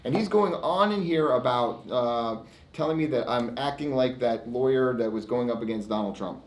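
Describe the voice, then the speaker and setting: A man's voice: mid-range voice, moderate pace. An American man, a lawyer presenting his own segment in an emphatic, defiant, informal monologue with frequent stops and repeats. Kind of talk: informal monologue to camera, reading out e-mails. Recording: echoey room.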